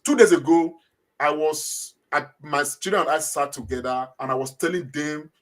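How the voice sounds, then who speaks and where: A man's voice: fairly high pitch, normal speed. A middle-aged man with a Nigerian accent, answering interview questions in a hesitant way, repeating words and saying uh often. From Edo State, Nigeria. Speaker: guest.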